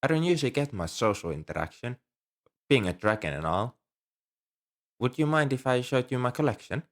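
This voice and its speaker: A man's voice: mid-range voice, natural voice. A man playing an ancient, polite, nerdy dragon who collects coins, speaking earnestly and a little primly to the listener in an ASMR roleplay. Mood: friendly, shy. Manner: polite, earnest, slightly prim and scholarly. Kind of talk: ASMR roleplay, one-sided dialogue with the listener.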